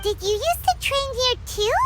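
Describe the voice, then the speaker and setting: Squeaky tiny childlike fairy companion. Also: female voice, lively intonation, moderate pace, music in the background. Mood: curious, eager. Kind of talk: video game cutscene acting.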